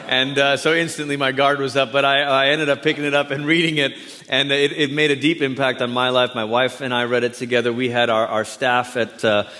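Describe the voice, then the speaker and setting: A man who grew up in Malaysia speaks as a guest preacher in a church sanctuary. His talk is conversational and warm, full of friendly asides to the congregation. The sound rings with long hall reverb. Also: mid-range voice, flat delivery, brisk. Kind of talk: sermon introduction.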